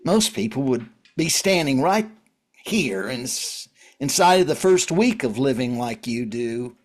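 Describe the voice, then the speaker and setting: An actor playing a grieving, pleading widower and recovering addict in a drama reading, speaking earnestly and haltingly. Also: male voice, mid-range voice, sing-song. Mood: earnest, consoling. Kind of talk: script reading of a stage drama.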